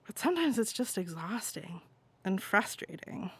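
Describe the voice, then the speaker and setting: A woman's voice: medium pitch, sing-song, normal speed, breathy edge, dry recording, exaggerated voice. Woman playing a wistful, introspective adult, dry sound. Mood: wistful, frustrated. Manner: introspective, informal confiding. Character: wistful, disconnected queer adult confiding in a cousin.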